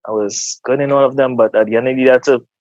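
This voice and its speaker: Trinidadian man, mid pitch, earnest casual talk, dry muffled recording. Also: steady tone, rapid-fire, a little mellow, no echo. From Trinidad and Tobago. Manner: repeats words while reaching for thoughts, earnest. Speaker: guest. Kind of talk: casual motivational interview.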